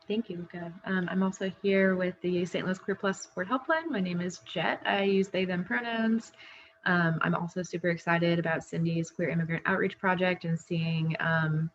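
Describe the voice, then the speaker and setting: A woman introducing herself on a group video call as a helpline volunteer, soft-spoken, with frequent ums. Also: lowish voice, fairly even intonation, normal speed. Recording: dull lo-fi with faint background noise.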